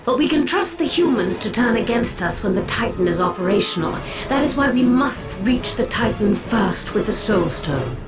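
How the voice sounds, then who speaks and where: A woman voicing an ancient, aloof alien seer in a game cutscene, measured, solemn and portentous. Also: on the high side, very animated, over music. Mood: wry disdain. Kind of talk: video game cutscene acting.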